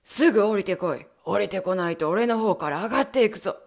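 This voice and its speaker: A woman's voice: sits low, lively intonation, measured pace, creaky, voice pushed down, exaggerated voice. A woman voicing a cruel, menacing husband who bellows threats and sneers. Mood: bellowing.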